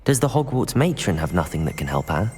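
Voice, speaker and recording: A man's voice: deep voice, big pitch swings, fairly quick, darkish tone, faint music behind. A man voicing a curious, eager young student wizard in a video game, earnest and politely cautious. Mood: inquisitive. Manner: earnest, inquisitive.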